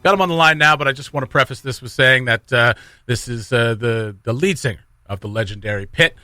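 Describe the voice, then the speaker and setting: A man hosts a morning radio show in a casual, conversational style. His voice is bright and forward at a medium pitch, and the studio sound is very dry. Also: a little fast, no echo. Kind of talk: casual live radio banter and interviewing.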